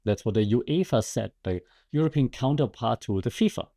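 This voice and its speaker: A man's voice: mid-range voice, animated, normal speed, dark tone. A man hosting a podcast with casual, conversational commentary and wry, sarcastic asides. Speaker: podcast host and media lecturer.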